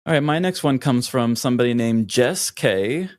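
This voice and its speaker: A man's voice: mid-range voice, animated, moderate pace, no room sound. A man co-hosting a casual comedy podcast, dropping short, wry quips. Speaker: podcast co-host.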